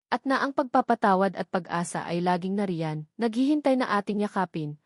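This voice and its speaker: A woman narrates a dramatic tale with earnest feeling. Her voice sits at a middle pitch, and the recording is close and dry, with no room around it. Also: animated, a little fast, no echo. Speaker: story narrator. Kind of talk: dramatic scripted audio story narration.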